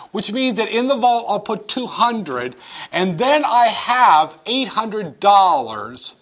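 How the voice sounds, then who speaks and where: Male econ lecturer, high and very animated delivery, jokey, acts out examples. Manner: playful, acts out banker-customer dialogues with jokes. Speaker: economics lecturer. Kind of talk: classroom lecture.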